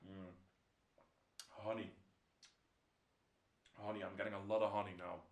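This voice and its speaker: Young Dutch-accented guy, lowish voice, relaxed home whiskey review, camera mic in a roomy-sounding room. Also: a little slow. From Netherlands. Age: young adult. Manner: relaxed, repeats words, drops to a whisper while tasting. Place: indoor home room, seated in armchair.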